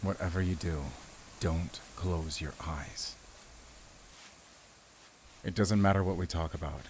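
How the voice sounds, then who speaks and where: An actor playing a battle-weary, protective werewolf hunter in an audio roleplay, with a grave, deliberate, commanding way of speaking to the listener. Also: male voice, deep voice, steady tone, a little hiss or hum. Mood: concerned, steady.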